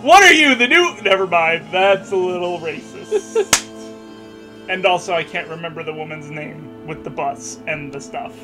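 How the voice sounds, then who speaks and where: A man with a notably high voice reads aloud in a mocking, sarcastic way. He drops into casual banter and pronounces the punctuation as he goes. Music plays faintly behind him. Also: expressive, moderate pace. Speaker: host reading fan fiction aloud.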